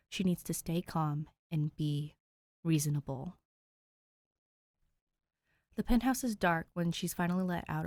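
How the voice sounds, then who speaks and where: A woman's voice: fairly low pitch, no echo, clean studio sound. A woman reading a fan fiction story aloud, narrating expressively. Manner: expressive, voices characters. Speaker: fanfic podfic reader. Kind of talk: audiobook narration.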